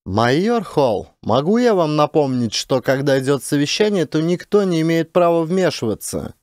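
Casting: man as authoritative woman commander, sci-fi audiobook, firm and pragmatic, voice lifted high, dry sound. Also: very animated, normal speed, a little mellow, dry recording. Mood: stern. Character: brisk, authoritative female space expedition commander.

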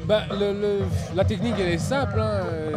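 A young man from Haiti, interviewed outdoors in a park, talking in a relaxed, rambling way with many fillers. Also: high-pitched, a little fast, over loud music. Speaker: interviewee, beatboxer and MC. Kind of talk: casual interview chat.

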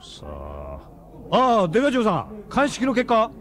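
An actor playing a businesslike, probing investigator in a radio drama. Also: male voice, sits high, wildly swooping pitch, slow, a bit bright, heavily acted. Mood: inquisitive.

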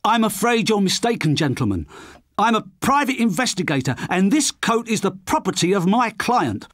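A stiff private eye who speaks in stilted, overly formal phrases, as a man puts him on. The voice sits well above the narrator's usual pitch, and the recording is close and dry. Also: big pitch swings, moderate pace, a bit bright, dry recording, exaggerated voice. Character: stiff young British private investigator.

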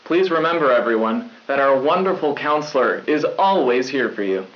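A young man plays a chipper high school news anchor with a mid-pitched voice. He reads corny scripted lines. The sound is dull, like an old VHS broadcast. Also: lively intonation, moderate pace, lightly acted. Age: young adult. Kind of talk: scripted 1990s school newscast.

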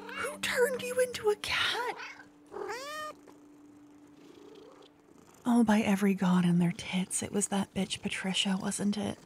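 A woman voicing a jealous, doting young witch girlfriend in an intimate ASMR roleplay, breathy and often whispering, with dramatic delivery. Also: sits high, slight background noise, full character voice. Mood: shocked, upset. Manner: dramatic, swinging from cooing to venting. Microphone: close ASMR microphone.

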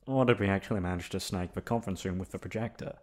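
Man acting as a low-voiced, playful college guy. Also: fast, a little mellow. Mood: casual, friendly. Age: young adult.

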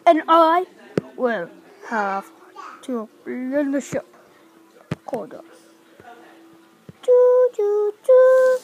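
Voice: extremely high pitch, lively intonation, drawn-out words, pauses often, a little mellow, lo-fi sound, soft background music. A child improvising a pirate puppet show, acting out the characters and chanting in a sing-song way.

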